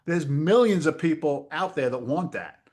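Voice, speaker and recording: A middle-aged man, a real estate investor telling stories in a casual interview over a video call, lively and blunt, acting out voices. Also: a little high, normal speed. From Massachusetts. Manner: animated storyteller, acts out quoted voices, swears casually.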